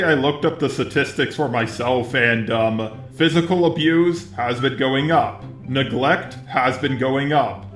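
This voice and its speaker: Male commentator, higher register, casual and sardonic, very roomy sound. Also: a bit bright. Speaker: YouTube commentator. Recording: roomy echo, music behind.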